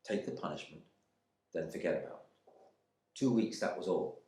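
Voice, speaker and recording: A man's voice: low voice, lively intonation, normal speed, a little mellow. A man performing a dramatic monologue as a proud, resentful airman in prison, in clipped, brooding phrases. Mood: bitter, brooding. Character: embittered English RAF airman imprisoned in India, proud and resentful. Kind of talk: dramatic monologue reading from a novel. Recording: echoey room.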